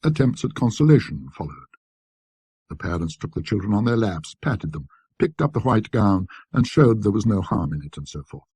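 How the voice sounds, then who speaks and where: A man narrating a classic ghost story in a precise, scholarly, measured manner with dramatic pauses. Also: lowish voice, very animated, warm tone, husky, no echo. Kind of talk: scripted ghost story narration, classic British literary reading.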